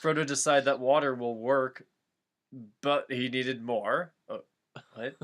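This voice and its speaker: A man's voice: mid-range voice, steady tone, slow pace, a bit bright. A man doing a comic dramatic reading of fan fiction, amused and breaking off to chat about the text. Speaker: reader and co-host.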